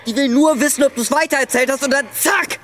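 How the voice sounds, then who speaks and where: An actor playing the hateful, taunting ghost of a dead young friend, inciting and urging in a German radio drama. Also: male voice, very high-pitched, fairly quick, noisy background. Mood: frenzied, hateful.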